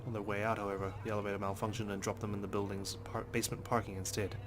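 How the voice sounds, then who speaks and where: A man's voice: on the low side, level tone, very fast, background noise. A man hosting a let's play, reading visual novel narration expressively with side comments and frequent swearing.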